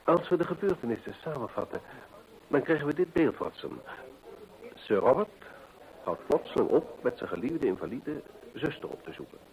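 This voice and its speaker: An actor playing a keen, methodical gentleman detective in an old radio play, deliberate and rhetorical as he reasons aloud. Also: mid-range voice, very animated, vocal fry, dull audio. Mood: analytical, intent.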